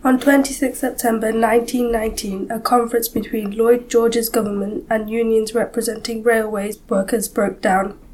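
A woman acts an earnest female newspaper reporter from 1919. She narrates an audio drama in a fairly high voice, with some ambient noise around her. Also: flat delivery, normal speed, few pauses, darkish tone, close to an everyday voice, low-quality recording. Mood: earnest, reporting.

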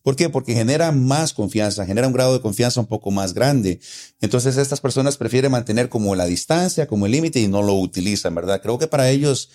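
A man's voice: medium pitch, lively intonation, a little fast, darkish tone. A man with a Costa Rican accent, patiently explaining local words in a casual conversation, often repeating his points. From Costa Rica. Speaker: guest explaining local slang.

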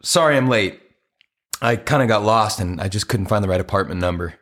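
A man's voice: fairly low pitch, a little fast, a bit bright, lightly acted. An actor playing an earnest, apologetic romantic man in an audio play, with a hesitant, conversational delivery.

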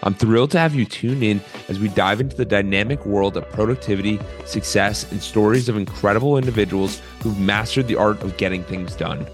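A man's voice: on the low side, fairly quick, few pauses. A man reading a polished, promotional intro for his podcast as host. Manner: polished, promotional, read from a script. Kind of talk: scripted show intro. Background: faint music.

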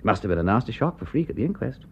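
An actor playing an aristocratic English gentleman detective in a radio drama, with an upper-class English accent and a witty, breezy, urbane manner. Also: lowish voice, sing-song, very fast, creaky, breathy edge, muffled recording, exaggerated voice. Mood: animated explaining. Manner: aristocratic, breezy, clipped period phrasing.

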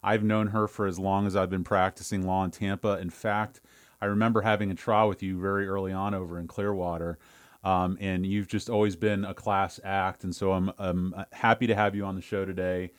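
Low-voiced guy hosting a podcast, chatty and eager, kinda rambly. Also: level tone, fairly quick, clean tone. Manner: enthusiastic, rambling questions. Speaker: podcast host and attorney. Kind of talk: casual interview chat.

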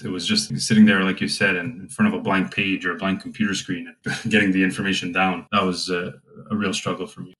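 A young man hosting a relaxed conversation, talking in a thoughtful way with plenty of fillers. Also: deep voice, quick pace, few pauses, low-quality recording. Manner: reflective, with frequent fillers. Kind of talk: casual conversation.

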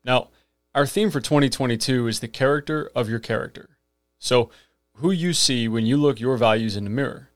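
A man's voice: mid-range voice, moderate pace, no room sound, clean studio sound, faint music behind. A millennial man hosting a podcast, delivering an earnest scripted monologue with emphatic rhetorical lists. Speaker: podcast host.

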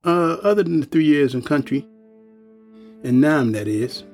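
A man's voice: on the high side, expressive, measured pace, a little mellow, faint music behind. An actor playing a world-weary old working-class man in an audio drama, speaking in a folksy, plain-spoken, ominous way. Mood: grave, reflective.

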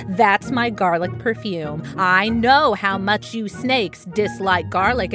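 A woman voicing a small, chatty female mouse who is a pushy traveling saleswoman, with a squeaky voice. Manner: quick, pushy sales patter.

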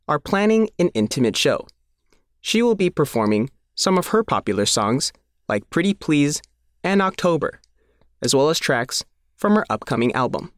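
A man's voice: medium pitch, no echo, studio quality. A man reading a scripted newspaper feature aloud as the reporter. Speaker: reporter reading their own article.

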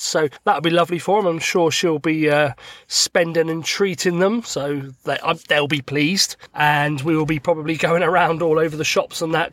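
A middle-aged man from the UK hosting his own podcast, his voice croaky from a cold, chatting and joking at himself while sat in his parked truck. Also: on the high side, a bit bright, no echo, clean studio sound. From United Kingdom. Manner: chatty, self-deprecating, joking. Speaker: podcast host. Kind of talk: casual solo podcast monologue. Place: inside his parked truck cab by a main road.

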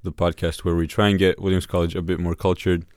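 A young man hosts a student podcast, giving friendly, brief prompts. His voice is very low and sits close to a dry mic. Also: flat delivery, fast, darkish tone, dry recording. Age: young adult. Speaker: podcast host. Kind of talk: casual podcast hosting.

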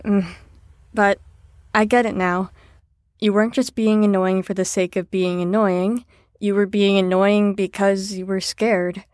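Woman acting as a caring female clinic staffer in an audio drama, sincere and reassuring, mid pitch, super dry recording. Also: level tone, unhurried, a little mellow, no echo, natural voice. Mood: sympathetic. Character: earnest, caring care-facility staffer, gently encouraging.

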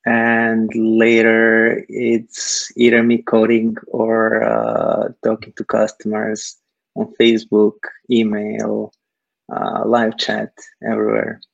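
A man from Serbia, answering interview questions haltingly over a video call, filling pauses with lots of uh. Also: on the low side, monotone, vocal fry, no room sound, highs cut off. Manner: hesitant, many uh fillers. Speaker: guest, startup technical founder.

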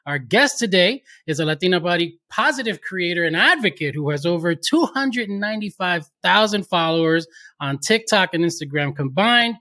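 Bright-voiced upbeat male host. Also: high-pitched, lively intonation, normal speed. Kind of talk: casual interview hosting.

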